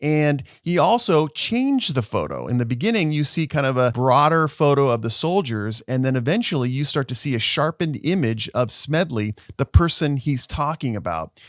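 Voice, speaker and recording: A man's voice: medium pitch, very animated, normal speed, hardly any pauses, dry recording, clean studio sound. A man who works as a social media lawyer, giving an upbeat explainer and walking viewers through video clips.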